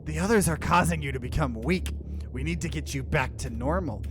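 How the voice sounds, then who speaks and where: A man voicing a scornful, impatient companion from a horror visual novel, giving forceful orders. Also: fairly high pitch, expressive, a little fast, over music, lightly acted. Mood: stern, menacing. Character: commanding, menacing nightmare companion, scornful and impatient.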